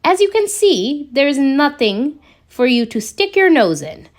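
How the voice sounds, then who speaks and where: A woman's voice: high-pitched, very animated, a little slow. A woman voicing a snide, curt and formal male sorcerer in a fan fiction story. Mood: haughty. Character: haughty, curt adult male sorcerer, guardian of sanctum.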